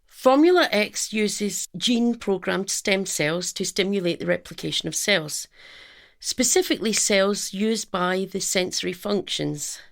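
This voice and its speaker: An actress playing an earnest research scientist in a radio drama, speaking in a measured, clinical manner. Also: female voice, mid-range voice, creaky. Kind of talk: audio drama acting.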